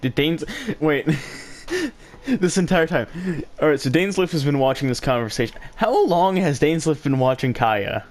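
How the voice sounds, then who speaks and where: A man's voice: fairly high pitch, sing-song, a little slow. A man doing casual playthrough commentary as a streamer, blunt and exasperated. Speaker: gaming streamer reacting to story.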